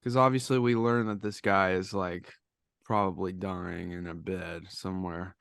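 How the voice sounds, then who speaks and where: A man with a lowish, heavily creaky voice chats casually as a podcast co-host, telling things in an anecdotal way. The sound is dry and close. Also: flat delivery, normal speed, a bit bright, dry recording.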